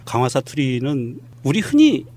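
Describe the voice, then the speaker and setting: A man from Ganghwa, a panel guest who calmly explains regional dialects. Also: medium pitch, moderate pace. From Ganghwa, Korea.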